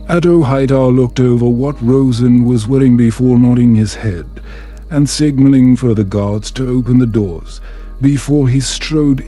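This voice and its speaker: Slow, mellow man narrating a bedtime story. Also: mid-range voice. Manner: measured, soothing.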